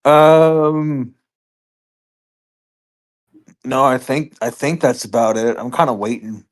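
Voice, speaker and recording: A woman's voice: a bass voice, fairly even intonation, unhurried. A woman chatting casually as a player in a tabletop game, hesitantly thinking aloud. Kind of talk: casual chat.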